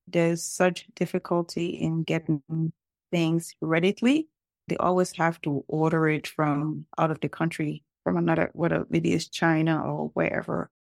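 A woman's voice: deep voice, flat delivery, normal speed, vocal fry, a little mellow, no echo, studio quality. A middle-aged woman from Liberia, telling stories conversationally as a podcast guest. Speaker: podcast guest, home cake business owner. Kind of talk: conversational interview storytelling.

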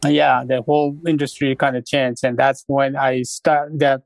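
Casting middle-aged man from Nepal, halting and unhurried. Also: mid-range voice, level tone, creaky, dry recording. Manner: unhurried, halting, with many fillers. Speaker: guest, restaurant founder. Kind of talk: casual interview storytelling.

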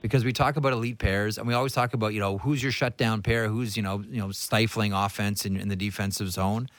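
A man's voice: on the low side, steady tone, fast, a bit bright, dry recording. A man hosting a sports talk show, giving conversational analysis emphatically and repeating phrases for stress.

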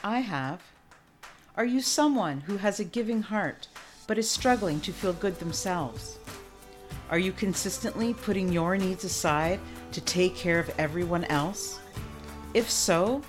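Female podcast host, lowish voice, emphatic motivational delivery, faint music bed. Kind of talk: podcast intro and interview.

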